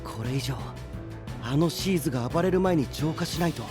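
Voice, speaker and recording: A man's voice: fairly high pitch, fairly quick, over music, natural voice. A man playing a cool, laid-back, teasing young fighter in a Japanese anime audio drama, with a casual drawl. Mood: sharp, practical.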